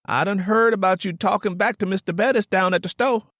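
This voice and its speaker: A man's voice: high voice, brisk, a bit bright, dry recording, studio quality, pitched above the performer's own voice. Man voicing a scolding old Southern grandmother. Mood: scolding, alarmed. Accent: American South. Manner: scolding, blunt. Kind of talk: audiobook character dialogue.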